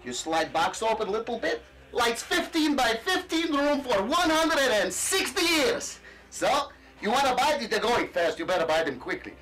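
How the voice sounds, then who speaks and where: A boastful, middle-aged Russian ex-KGB peddler, as a man puts him on, hawking his wares in broken English with a thick Russian accent. The voice sits high and swings widely up and down. It sounds a little distant from the mic, with faint music underneath. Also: moderate pace, heavily acted. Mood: pitching. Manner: broken English, salesman patter, deadpan crude jokes.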